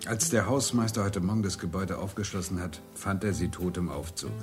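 Man acting a low-voiced, nervous, halting doctor. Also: over music, natural voice. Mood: subdued. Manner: halting, stammering. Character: nervous, evasive male doctor hiding guilt. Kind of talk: radio crime drama acting.

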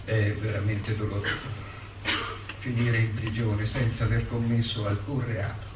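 An actor playing a jailed intellectual in a radio drama, dignified and wry, speaking in measured, deliberate phrases with weighty pauses. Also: male voice, fairly low pitch, level tone, close to an everyday voice. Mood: sorrowful, resigned. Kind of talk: stage-style radio drama, letter and courtroom dialogue. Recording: roomy, distant, noisy theatre-like recording.

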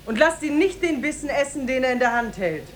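A woman's voice: high voice, lively intonation, fairly quick. A woman playing a young former slave who rules as a disguised sultan, formal and commanding, in a 1950s German radio play. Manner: formal, declamatory, imperious.

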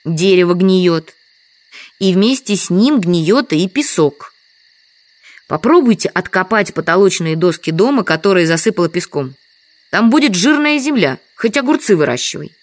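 An actress playing a lonely, resigned village widow in an audio drama, speaking plainly and matter-of-factly. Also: female voice, lowish voice, lively intonation, moderate pace, close to an everyday voice. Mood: insistent, matter-of-fact. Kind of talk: Russian audio drama acting.

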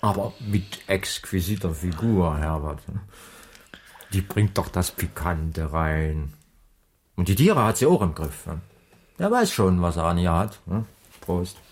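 An actor playing an easygoing, chatty circus colleague in a radio drama, talking casually. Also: male voice, deep voice, sing-song, slow pace, heavily acted. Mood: appraising. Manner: casual, colloquial. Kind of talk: 1980s radio drama dialogue.

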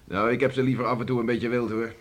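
An actor playing a hearty, gruff farmer and beachcomber father in a Dutch radio drama, talking in a bluff, teasing way. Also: mid-range voice, little pitch movement, fast, lightly acted. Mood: bluff, easygoing. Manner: bluff, teasing, chuckling, colloquial.

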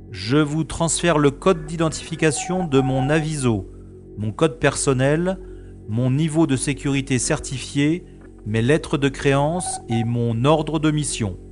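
A man playing a weary, bantering spaceship commander in a science fiction audio drama, with a measured manner. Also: fairly high pitch, soft background music. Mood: focused. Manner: measured, exasperated with his computer.

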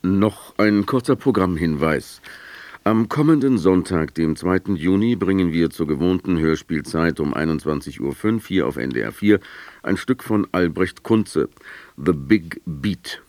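A man reading scripted announcements and news on the radio in a formal, measured, factual manner. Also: deep voice, lively intonation, darkish tone, dry recording. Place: dry radio studio.

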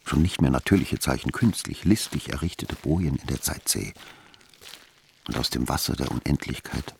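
Very deep, creaky, brooding Philipp. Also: male voice, normal speed, slight background noise. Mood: brooding, bewildered. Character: Philipp, brooding, weary, dizzy male intellectual observer. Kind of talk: inner monologue in a radio play.

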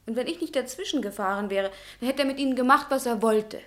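An actress playing a sharp-witted, bold and protective young lady, assertive and knowing, in a German radio play. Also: a little high, fast talker, close to an everyday voice.

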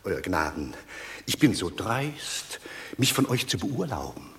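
An actor playing a witty, blunt old Roman patrician in a classical radio drama, mocking and theatrically effusive. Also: male voice, on the low side, big pitch swings, unhurried, bright, forceful tone, a little hiss or hum, exaggerated voice. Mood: mocking courtesy.

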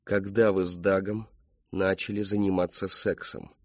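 A man's voice: sits low, little pitch movement, moderate pace, dry recording, muffled recording, close to an everyday voice. A man voicing a furious, domineering middle-aged father in an audiobook, barking accusing demands. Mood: enraged, accusing.

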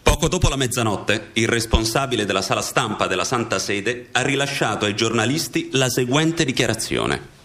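A man playing a dead young Swiss Guard corporal in a radio drama, giving a sober, measured, factual account. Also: medium pitch, lively intonation, sharp tone. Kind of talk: scripted radio drama monologue.

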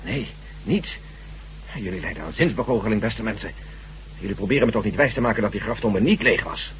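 An actor playing a weary, dutiful army general in a radio drama, gruff and resigned in manner. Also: male voice, fairly low pitch, animated, fairly quick, a little hiss or hum, close to an everyday voice, rough recording.

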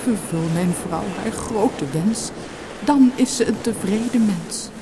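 A woman's voice: mid-range voice, slow, background noise, pitched above the performer's own voice. A woman voicing a timid, sorrowful old fisherman in a fairy-tale audiobook, pleading and trembling. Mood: desperate, pleading.

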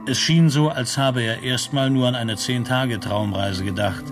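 A man's voice: medium pitch, fairly even intonation, normal speed, music in the background. A man giving scripted narration in a 1970s science fiction radio play.

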